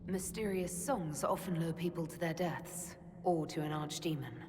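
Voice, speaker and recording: An actress playing a composed, inquisitive adventurer in a video game cutscene, calm and questioning. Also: female voice, deep voice, moderate pace, close to an everyday voice. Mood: calm, curious. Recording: long cavernous reverb, clear background noise.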